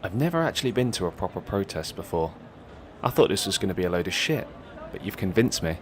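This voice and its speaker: An agreeable, unsure young protester in a satirical audio drama. His low voice moves with lively, tentative inflection, set against clear outdoor street noise. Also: fast talker. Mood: curious, unsure. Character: hesitant, gullible, apologetic young male protester. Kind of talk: naturalistic comic audio drama dialogue.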